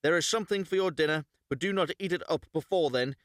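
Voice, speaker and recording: A man's voice: on the high side, fast, a bit bright, no room sound, clean studio sound, pitched above the performer's own voice, natural voice. A man voicing a harsh, bossy mother from a fairy tale, scornful and scolding. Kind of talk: storybook character reading.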